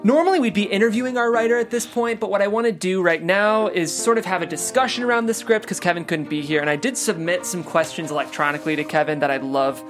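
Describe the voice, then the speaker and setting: A woman's voice: medium pitch, fast talker, few pauses, soft background music. A woman hosting a casual panel discussion, chatty and loose with many fillers. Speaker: host.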